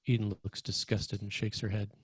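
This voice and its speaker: Low, husky male script narrator. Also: flat delivery, moderate pace. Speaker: narrator reading stage directions. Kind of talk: scripted narration for a screenplay table read.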